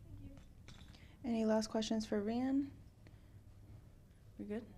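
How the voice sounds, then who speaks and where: A woman moderating a press conference, introducing the coach and calling on questions in a brisk, polite way. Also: on the high side, dark tone, a little hiss or hum. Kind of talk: brief press conference hosting.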